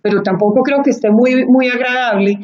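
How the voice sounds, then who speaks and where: A woman's voice: a little high, steady tone, a little fast, darkish tone. Colombian woman, thoughtful guest, muffled. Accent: Colombian Spanish. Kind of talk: conversational interview. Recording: muffled lo-fi audio.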